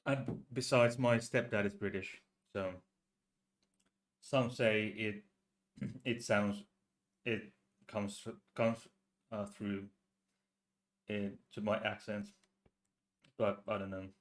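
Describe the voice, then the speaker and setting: Slow halting man hosting a chat. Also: lowish voice, steady tone. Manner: halting, full of restarts and filler sounds. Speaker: host. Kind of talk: casual chat.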